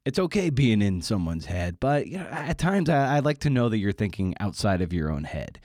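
A man's voice: fairly low pitch, big pitch swings, fairly quick, a little mellow, no echo, studio quality. A man hosting his podcast, chatting casually and wryly through plugs and the episode intro with self-deprecating asides. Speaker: podcast host.

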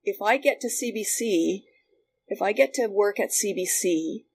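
A woman with a Canadian accent chatting casually and telling stories in an animated way, as a guest looking back. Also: medium pitch, a little slow. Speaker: guest, former radio worker. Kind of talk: casual reminiscing conversation. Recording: noticeable background noise.

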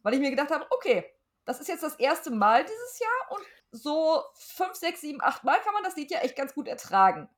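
A woman's voice: sits high, very animated, moderate pace. A woman co-hosting a podcast, chatting informally and wryly. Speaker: podcast co-host. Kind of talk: casual chat, podcast sign-off.

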